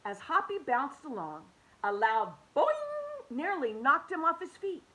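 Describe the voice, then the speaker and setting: A woman reading a children's picture book aloud, expressive and animated, dropping to soft hushed tones. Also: high-pitched, a little slow, low-quality recording. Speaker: children's story reader.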